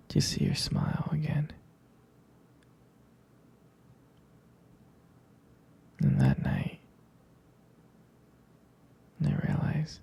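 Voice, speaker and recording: A man playing a tender, smitten boyfriend in an intimate ASMR roleplay, speaking softly and haltingly in a breathy near-whisper. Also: a little high, heavily acted. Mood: fond, devoted. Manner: hesitant, halting, gentle. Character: tender, smitten boyfriend reminiscing about a first date.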